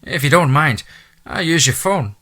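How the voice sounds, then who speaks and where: A man's voice: on the high side, animated, moderate pace, bright, forceful tone, voice pushed up. A man voicing a chatty middle-aged Irish housekeeper woman with a lilting, motherly manner. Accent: Irish (put on).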